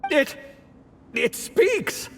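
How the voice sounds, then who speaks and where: A woman voicing an excitable, cheeky young video game character who gets flustered easily. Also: high-pitched, drawn-out words, gravelly, full character voice. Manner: exclamatory, stammering when flustered. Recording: long reverberant hall sound. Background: game music.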